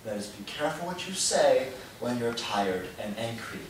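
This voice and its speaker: A man's voice: mid-range voice, lively intonation, normal speed, heavy reverb, far from the mic, a little hiss or hum, natural voice, poor audio. An actor playing an exasperated young husband and lawyer, dry and sarcastic, arguing with his wife late at night. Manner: dry, sarcastic, rising to yelling. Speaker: husband in a quarrel.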